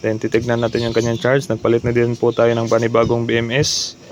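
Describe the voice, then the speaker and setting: A man's voice: mid-range voice, monotone, fairly quick, a little hiss or hum. A man giving a casual repair demonstration, explaining step by step and mixing in English terms. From Philippines. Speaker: repair technician demonstrating his work. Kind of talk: casual repair demo vlog.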